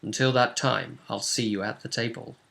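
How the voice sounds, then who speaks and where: A man's voice: medium pitch, moderate pace, vocal fry, bright, forceful tone. A man hosting a tabletop role-play vidcast, speaking in casual post-game chat as the game master.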